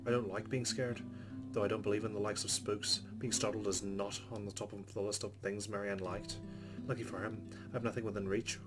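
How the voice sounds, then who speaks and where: A man's voice: lowish voice, flat delivery, a little fast. A man hosting a let's play, reading visual novel narration aloud and commenting as he goes. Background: guitar game music.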